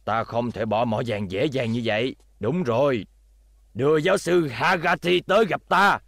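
A man's voice: medium pitch, normal speed, creaky, a bit bright, no echo, clean studio sound. A man with a Southern Vietnamese accent voicing a greedy, blustering middle-aged fight promoter in a dubbed cartoon. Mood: scheming.

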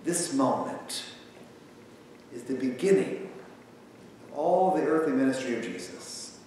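A man in his thirties preaching a sermon from a church pulpit, talking in a conversational, animated way. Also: mid-range voice, measured pace. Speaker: pastor. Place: large church sanctuary with pipe organ. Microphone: far camera across the sanctuary. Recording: echoey sanctuary from far off, faint hiss.

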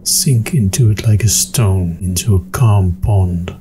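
A man narrating a guided meditation in an unhurried, soothing manner with long pauses. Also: deep voice. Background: meditation music with singing bowls.

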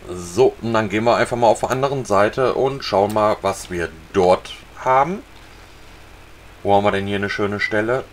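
A man casually talking viewers through a fishing video game, relaxed and chatty. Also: lowish voice, normal speed, a little hiss or hum. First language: German. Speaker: gaming channel host. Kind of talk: casual let's-play commentary.

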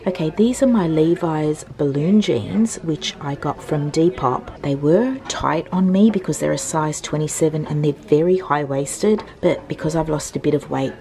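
Low-voiced woman chatting softly. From Australia. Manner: chatty, often drops to a soft whisper. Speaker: thrift vlogger. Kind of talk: casual try-on chat. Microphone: handheld compact camera filming in a mirror.

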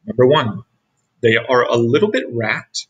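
A middle-aged man giving an expressive read-aloud of a children's storybook. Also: mid-range voice, unhurried, husky, muffled recording. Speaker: storybook reader.

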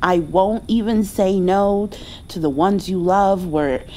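A woman playing a loving mother who comforts her grown child in a tender, hushed ASMR roleplay. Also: mid-range voice, slow, natural voice. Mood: warm, affectionate. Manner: tender, soothing, sometimes dropping toward a whisper.

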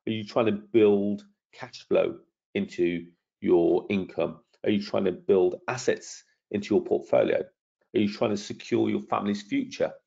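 A middle-aged man talks through a webinar, his low voice croaky and gravelly with a husky edge. He sounds persuasive and keeps turning questions back to the listener. The audio is dull and lo-fi. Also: warm tone. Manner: persuasive, self-promoting, asks the audience questions. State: croaky from lingering illness. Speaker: property trainer hosting a webinar. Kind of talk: webinar presentation over slides.

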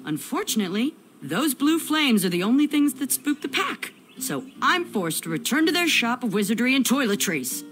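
A proud, feisty village blacksmith from a fantasy game. Her voice is a little high and very animated, punching her words over faint music. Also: normal speed. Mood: earnest, insistent. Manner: feisty, emphatic. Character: brash, proud young woman village blacksmith. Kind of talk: animated adventure game voice acting. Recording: faint music behind the dialogue.

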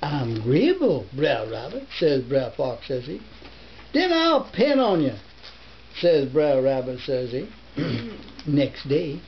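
An older man in his seventies reads a folk tale in a put-on American Southern dialect, halting now and then like a grandfather at story time. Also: a little high, creaky. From northern United States. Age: older, 70s. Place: book-lined living room. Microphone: camera a short distance away. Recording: muffled home camera recording with hiss.

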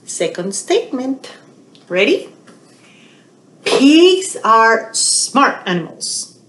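Animated, unhurried woman in an echoey room. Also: fairly high pitch. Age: middle-aged. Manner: animated, addressing little children directly. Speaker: children's librarian hosting storytime. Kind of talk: storytime for young children. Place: small indoor room. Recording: roomy, echoey home webcam sound.

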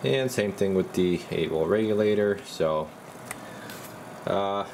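A man speaks in a deep register with plenty of gravelly fry. He is plain-spoken and informal, thinking aloud as he demonstrates repair work like a technician. A clear bed of background noise sits behind his voice. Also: slow pace, darkish tone. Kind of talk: casual hands-on repair demonstration.

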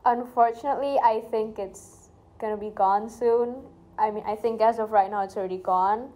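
A woman's voice: on the high side, moderate pace, mellow. A young woman from Indonesia answering interview questions frankly and casually, indoors by a projection screen.

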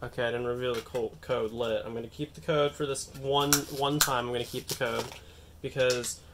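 A man's voice: mid-range voice, a little fast, background noise. Nasal young man with a cold. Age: young adult. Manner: excitable, rambling, self-mocking. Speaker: card-opening channel host. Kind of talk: casual unboxing vlog. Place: indoors at a table, hands on camera.

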